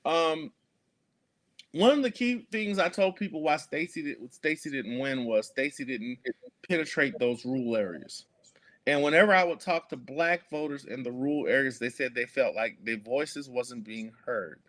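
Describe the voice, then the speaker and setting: A man's voice: medium pitch, normal speed, a bit bright. A man, speaking earnestly as an activist guest in an interview, with many fillers and restarts. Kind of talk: conversational interview.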